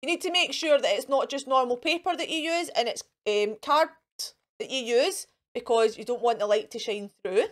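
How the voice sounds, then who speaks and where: A woman's voice: fairly high pitch, normal speed. A Scottish woman hosting a children's show in a chatty, encouraging way, with simple repeated phrases and questions to the young viewers. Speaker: children's storytime host. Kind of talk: chatty children's craft and activity presenting to camera.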